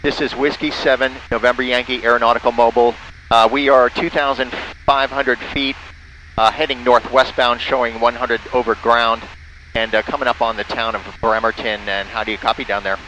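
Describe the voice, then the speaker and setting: Bright-voiced man narrating from a small plane. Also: medium pitch, flat delivery, fairly quick, low-quality recording. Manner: spells out callsigns in phonetic alphabet. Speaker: pilot and amateur radio operator. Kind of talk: casual in-flight narration and radio calls. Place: small airplane cockpit in flight. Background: faint vehicle engine noise.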